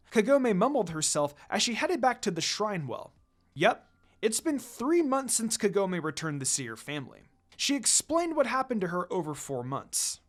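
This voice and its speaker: A narrator reading a fan fiction story aloud in a dramatic style. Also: mid-range voice.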